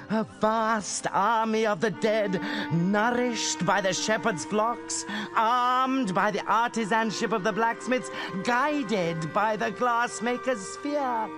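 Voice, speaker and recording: An actor playing a grandiose, scheming bishop villain in a video game, with pompous, theatrical delivery and ornate speech. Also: male voice, high-pitched. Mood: exultant. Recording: game dialogue over clear background music.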